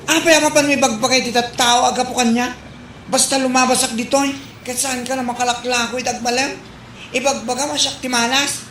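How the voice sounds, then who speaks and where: Woman acting high-pitched scolding village woman, echoey. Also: steady tone, fairly quick, fairly bright tone. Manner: scolding, exclamatory. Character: scolding, blunt village woman, fed up neighbour. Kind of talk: Ilocano comedy drama acting. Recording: echoey room with background noise.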